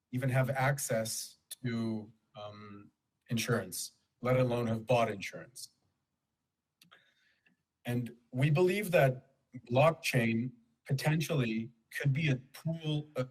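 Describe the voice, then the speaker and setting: A man who grew up in Kenya giving a conference presentation in a measured, explanatory way, pausing for emphasis. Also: mid-range voice, darkish tone, husky, poor audio. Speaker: conference speaker for a nonprofit.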